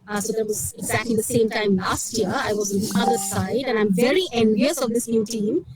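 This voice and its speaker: A woman's voice: a little high, a little fast, a little mellow, soft background music, poor audio. A woman with an Indian English accent, a group coach, introducing herself eagerly on a video call.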